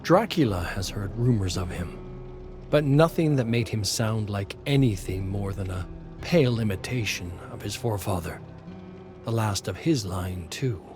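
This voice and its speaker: A man reading dramatic scripted story narration in a measured, solemn way. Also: on the low side, animated. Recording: music behind the voice. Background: music.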